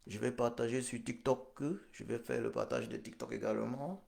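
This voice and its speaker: A middle-aged man, recovering from a hospital stay, hosting a live-stream political address in a solemn, slow, repetitive way full of fillers. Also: mid-range voice, sing-song, vocal fry, a little mellow. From Cameroon. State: convalescent after hospital stay. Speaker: political livestream host.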